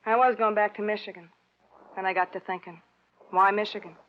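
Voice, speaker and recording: A woman's voice: mid-range voice, fairly even intonation, fairly quick, lightly acted. An actress playing a guarded, weary young American woman who talks in short, fatalistic sentences in an old radio crime drama. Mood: resigned. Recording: dull old radio recording.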